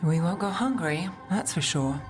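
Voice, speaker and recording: Woman acting cool jaded lady detective from a video game, lowish voice, dry, music behind. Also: expressive, moderate pace, lightly acted. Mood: dry, composed. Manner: dry, understated. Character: cool, world-weary female detective, dry and guarded.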